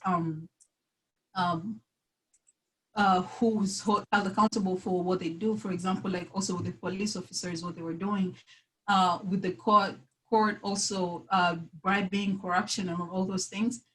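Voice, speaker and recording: A woman's voice: fairly low pitch, fairly bright tone, low-quality recording. A woman in her early twenties from the Democratic Republic of the Congo, a native French speaker, giving a personal account as a panelist in a hesitant, self-correcting way. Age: early 20s. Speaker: panelist, university student.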